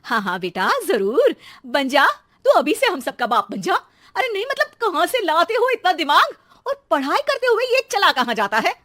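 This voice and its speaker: A fed-up housewife and mother, acted out by a woman narrator, scolds in a high, sharply swooping voice. She is sarcastic and nagging, with the exaggerated temper of a cartoon story. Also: big pitch swings, normal speed, heavily acted. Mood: sarcastic scolding. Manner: shrill shouting, sarcastic nagging. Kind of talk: animated cartoon story voice acting.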